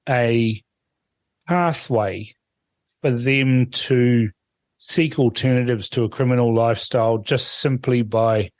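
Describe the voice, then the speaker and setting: A man's voice: medium pitch, darkish tone, no room sound. A man from Fiji hosting a radio interview, asking long, chatty, rambling questions. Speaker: radio host.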